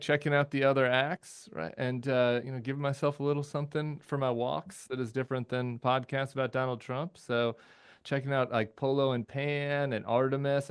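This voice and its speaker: A man's voice: mid-range voice, clean tone. A man in his forties, a guest on a podcast, talking casually in a rambling way full of fillers. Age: 40s. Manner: rambling, hesitant, frequent fillers, swears casually. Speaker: guest commentator. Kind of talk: casual chat.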